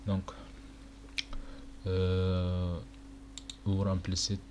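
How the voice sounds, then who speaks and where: Young male tutor from Chad, first language Arabic, deep flat delivery, halting and casual, noisy home recording. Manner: casual, halting, many fillers. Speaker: tutorial presenter. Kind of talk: screen-recorded walkthrough. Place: indoor room at a computer.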